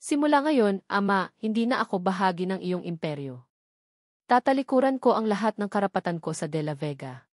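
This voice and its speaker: A woman's voice: mid-range voice, animated, fairly quick, darkish tone, dry recording, lightly acted. Bitter grown son in a story drama, read by a woman, Filipino accent, firm, accusing, dry sound. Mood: determined. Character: bitter, determined adult son confronting his tycoon father.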